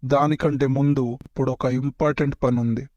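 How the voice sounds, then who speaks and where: Parody cartoon: male actor as sly, insolent prince, deadpan, close and dry recording. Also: medium pitch, a little fast, no room sound, highs cut off, close to an everyday voice. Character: cheeky, cunning adult prince talking back to his father. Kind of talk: Telugu parody cartoon dubbing.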